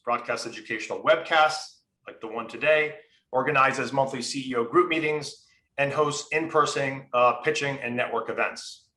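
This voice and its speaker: A man's voice: on the high side, sharp tone. A man hosting a webcast, giving a formal welcome and introduction over a video call. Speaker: webcast host, entrepreneur in residence. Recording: roomy, echoey webcast audio.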